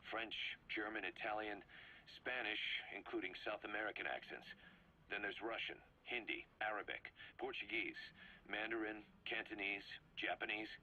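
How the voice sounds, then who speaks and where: A measured, analytical male intelligence officer from a military video game. His low voice has a hard, bright edge as he lays out a briefing methodically. It comes off a slightly dull, noisy cassette recording. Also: fairly even intonation. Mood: analytical, matter-of-fact. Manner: methodical, matter-of-fact briefing delivery. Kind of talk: scripted game dialogue, briefing. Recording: slightly dull cassette tape with clear noise.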